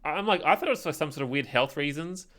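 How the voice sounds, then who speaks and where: Young male podcast co-host, slightly high voice, loose conversational storytelling with halting phrasing. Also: expressive, a bit bright. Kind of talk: casual chat, storytelling.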